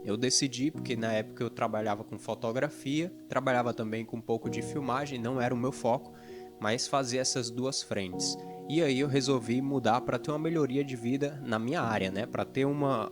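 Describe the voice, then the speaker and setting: A man from northeastern Brazil, a video editor telling his personal story to his channel's viewers in an earnest, conversational way, pausing often with fillers. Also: mid-range voice. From Fortaleza, Ceará, Brazil. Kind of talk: personal testimony to camera. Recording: music playing underneath.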